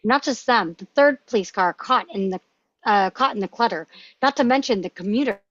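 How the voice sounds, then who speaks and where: A woman's voice: medium pitch, normal speed, a bit bright, dry recording. A woman reading screenplay action aloud as narration, putting emphasis on punchy action words. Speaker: table read participant. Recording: dry, dull lo-fi sound.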